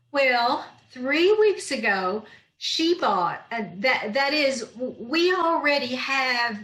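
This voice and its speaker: A woman playing a wry, bossy younger woman who is easily smitten, in old time radio comedy style with deliberate delivery. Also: high-pitched, animated, pushed and bright, exaggerated voice. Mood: tongue-tied. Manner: dry, deliberate, stammering when flustered. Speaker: amateur radio troupe actor. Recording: roomy echo on video call.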